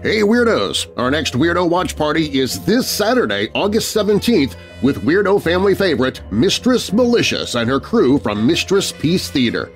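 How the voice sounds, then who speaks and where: Animated high-pitched male podcast host over music. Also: moderate pace, few pauses. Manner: upbeat, addressing fans directly. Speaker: host and announcer of a horror radio podcast. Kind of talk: scripted promotional announcement.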